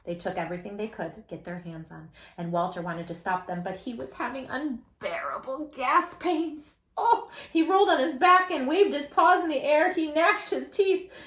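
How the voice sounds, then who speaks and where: A woman reading a picture book aloud for children in an animated, playful way, talking directly to young listeners. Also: sits high, moderate pace, hardly any pauses, echoey room. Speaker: children's storytime host.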